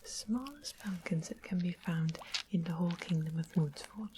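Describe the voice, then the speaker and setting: A woman playing a sweet-seeming witch who makes potions, whispering gently as she explains things in a fantasy ASMR roleplay. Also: fairly low pitch, lively intonation, normal speed, close to an everyday voice. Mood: gentle, hushed. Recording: close whisper with clear background hiss.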